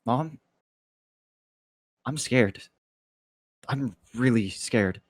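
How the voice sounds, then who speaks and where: A man's voice: mid-range voice, extremely slow, no echo. A man performs a young college student on the run, reading his part at a script table read. He is frightened and guilty, a son out of his depth. The sound is dry and close, with little room in it. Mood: scared, sad.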